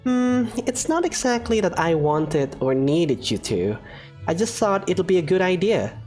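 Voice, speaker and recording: A woman's voice: lowish voice, sing-song, a little slow, a little mellow, soft background music, voice pushed up. A woman voicing a prim, studious young female student who speaks formally and precisely.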